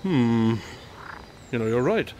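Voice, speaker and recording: A man playing an eccentric, self-assured detective with a playful deadpan manner in a comic radio drama. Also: medium pitch, slow pace, a little hiss or hum. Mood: cocky, playful. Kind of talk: comedic radio drama acting.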